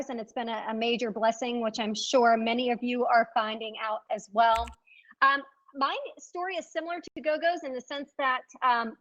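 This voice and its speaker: Upbeat high-voiced woman, lo-fi sound. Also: a bit bright. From Richmond, Virginia. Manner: upbeat, folksy, enthusiastic. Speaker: real estate agent, panel speaker. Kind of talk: conference panel talk. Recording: dull lo-fi sound.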